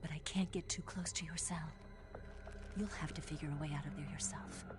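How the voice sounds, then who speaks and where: Actress as hushed, low-voiced female spy. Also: steady tone, fairly quick, loud music behind the voice, lightly acted. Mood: urgent, secretive. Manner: hushed, urgent, often whispering. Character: secretive, urgent female spy helping a prisoner escape. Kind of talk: dramatic video game cutscene acting.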